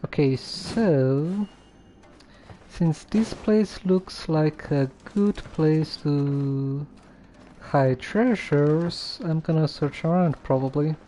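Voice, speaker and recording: A man giving casual live gameplay commentary, halting and muttering to himself as he plays. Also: fairly high pitch, mellow, slight background noise. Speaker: game streamer. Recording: faint game music underneath.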